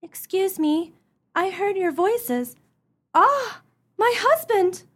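An actress playing a gentle, dramatic wife and mother in a comic radio melodrama. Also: extremely high pitch, expressive, takes it slowly, full character voice. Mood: breathless wonder.

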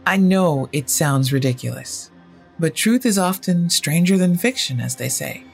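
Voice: medium pitch, animated, unhurried, vocal fry, slightly rough, exaggerated voice. Narrator playing adult male inventor, grave, formal, determined, guarded, audio horror story, music bed.

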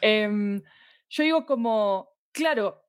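A woman's voice: medium pitch, animated, slow, no room sound, studio quality. A woman with a Latin American Spanish accent talking conversationally as an interview guest, explaining her thoughts and often checking for agreement. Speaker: podcast guest, fiction podcast producer. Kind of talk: conversational interview about audio fiction.

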